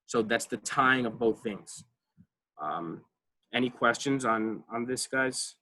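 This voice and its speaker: A man's voice: medium pitch, slow, bright, forceful tone, rough recording. A man presenting a college advising workshop over a video call, talking casually with many fillers and checking in with "right?". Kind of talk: online class presentation.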